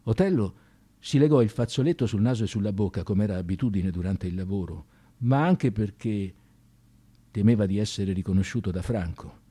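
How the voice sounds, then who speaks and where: Dramatic male storyteller with very animated intonation. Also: fairly low pitch, slightly rough, no room sound. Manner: intense, emphatic, with deliberate dramatic pauses. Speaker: narrator of a radio drama. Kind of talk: dramatic scripted storytelling, theatrical radio narration.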